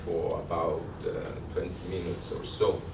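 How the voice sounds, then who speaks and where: A man with an Italian accent giving a workshop lecture, conversational and halting, with many fillers and restarts. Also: low-pitched, level tone, creaky. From Italy. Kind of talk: workshop lecture with audience questions. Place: lecture room. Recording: echoey room, distant mic, noisy.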